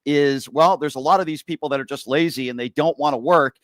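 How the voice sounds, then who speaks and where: A man's voice: on the high side, brisk, fairly bright tone, dry recording, studio quality. A man from Connecticut hosting a heated political debate, pressing his guest with rhetorical questions. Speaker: host.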